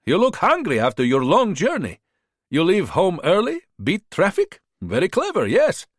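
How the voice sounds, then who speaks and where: A man voicing a smooth-talking male border fixer of about thirty, speaking broken English with a foreign accent, pushy and flattering. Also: high voice, normal speed, no room sound, clean studio sound, pitched above the performer's own voice, exaggerated voice. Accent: unplaceable foreign accent.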